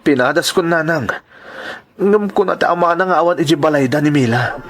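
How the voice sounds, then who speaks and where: A man acting a distressed, stubborn, quick-tempered husband in a radio-style melodrama, earnest, with an Ilocano accent. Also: on the high side, natural voice. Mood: remorseful.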